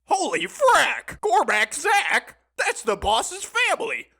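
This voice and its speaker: An actor playing a scrawny, jittery, cowardly underworld lackey with an excitable, slangy way of talking in a sci-fi audio drama. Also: male voice, extremely high pitch, gravelly, exaggerated voice. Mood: excited. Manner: excitable, slangy, drawn-out panicky delivery.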